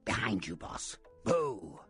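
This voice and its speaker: A man playing a sly old vampire, a faded film star turned informant in a video game, with a whispery rasp and a theatrical, sarcastic drawl. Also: fairly low pitch, very animated, slow pace, fairly bright tone, faint music behind, heavily acted. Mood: theatrical, wistful. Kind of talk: video game character acting.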